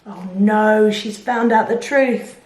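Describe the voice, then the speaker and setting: A woman reading a picture book aloud to children, expressive, with explanations and questions to the listener. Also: mid-range voice, slow pace. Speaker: children's storyteller. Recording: echoey room.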